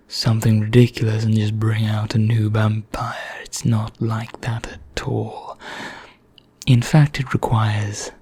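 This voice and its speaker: A man playing a genteel, secretive immortal vampire in an ASMR roleplay, speaking in a hushed, deliberate, breathy half-whisper. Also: on the low side, heavily acted. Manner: slow, deliberate, genteel and conspiratorial. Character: bored, secretive immortal male vampire, darkly courteous.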